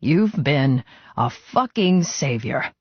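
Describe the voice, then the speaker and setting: An older biker boss as a male audiobook narrator plays him speaks to his protege with earnest, sentimental feeling. His pitch moves in lively swings. The recording is dry and a little muffled. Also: slow pace, slightly rough, no echo, full character voice. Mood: moved, proud. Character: older male biker club boss, fond mentor, emotional.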